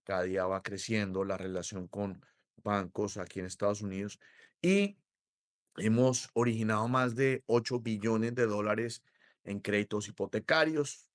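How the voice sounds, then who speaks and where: A middle-aged Colombian man with a Colombian accent, explaining mortgages as an interview guest in a measured way, with many hesitations. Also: on the low side, dry recording, clean studio sound. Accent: Colombian Spanish. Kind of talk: interview conversation.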